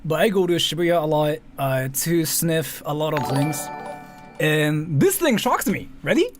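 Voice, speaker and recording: Animated, higher-pitched man. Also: measured pace, over music. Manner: rambling, repetitive, sweary. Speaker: commentary streamer. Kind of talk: casual reaction commentary. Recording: faint background noise.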